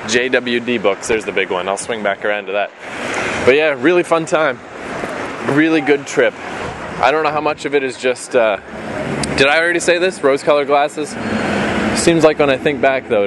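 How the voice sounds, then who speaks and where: A young man in his late twenties talks to a handheld camera as he walks outdoors in the rain. His voice sits at an ordinary pitch, loose and rambling, with casual swearing. Traffic and street noise come through clearly behind him. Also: fairly even intonation, moderate pace. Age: 20s. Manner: casual, musing, swears freely. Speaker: vlogger. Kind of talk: walking vlog, rambling reflection. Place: outdoors on rainy city streets. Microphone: handheld camera mic.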